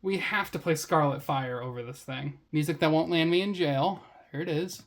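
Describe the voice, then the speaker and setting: A woman's voice: low voice, moderate pace. A woman hosting a casual product review, chatting in a wry, slangy and easily amused way. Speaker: product reviewer, vlog host.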